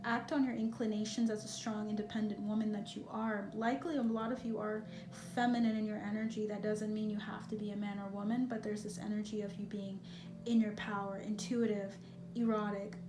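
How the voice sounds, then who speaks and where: A woman giving a pick-a-card tarot reading, talking in a gentle, reassuring, musing way. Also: medium pitch, level tone, brisk. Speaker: tarot reader. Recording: echoey room with noise.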